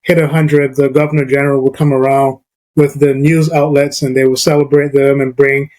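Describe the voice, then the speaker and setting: A man's voice: mid-range voice, flat delivery, a little fast, darkish tone, low-quality recording. A man from Barbados hosting a podcast, talking casually and loosely with plenty of "you know" and "like". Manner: conversational, many fillers like "you know" and "like". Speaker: podcast host.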